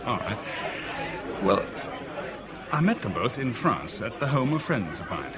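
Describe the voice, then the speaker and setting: A man's voice: fairly low pitch, very animated, unhurried. An actor playing a determined, lovelorn man in a 1970s radio drama, articulate, literary and earnest. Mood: frustrated. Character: determined, lovelorn man searching Hong Kong for missing woman. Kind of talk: 1970s British radio drama, first-person narration and dialogue. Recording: radio drama with music or club crowd behind.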